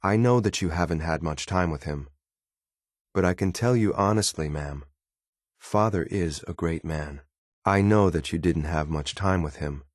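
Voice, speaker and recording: An actor playing a loyal, disapproving android soldier in a video game, speaking in a formal, clipped, deadpan and blunt way. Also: male voice, low-pitched, normal speed, dry recording, clean studio sound, lightly acted. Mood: measured, matter-of-fact. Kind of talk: video game companion voice lines.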